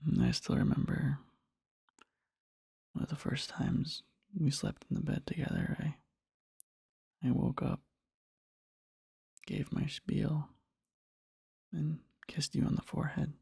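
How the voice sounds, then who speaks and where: A man playing a devoted, sleepy boyfriend in an ASMR roleplay, murmuring tenderly and haltingly in a husky whisper, close to the microphone. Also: a little high, wildly swooping pitch, warm tone, no room sound, exaggerated voice. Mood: drowsy, affectionate. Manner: slow, halting, rambling, tender. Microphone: close-up microphone, dry.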